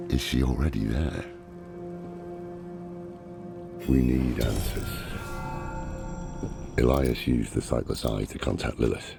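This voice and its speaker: An actor playing a grim, weathered old scholar and demon hunter in a video game, weary and deliberate, with a gravelly voice. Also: male voice, extremely low pitch, full character voice. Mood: brooding. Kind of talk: dramatic video game cutscene acting. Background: dramatic game music.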